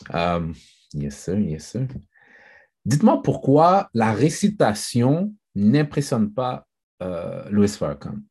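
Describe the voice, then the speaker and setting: Deep husky moderator. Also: male voice, slow pace. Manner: relaxed, mixes in English phrases. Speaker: discussion moderator. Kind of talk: moderating a group discussion.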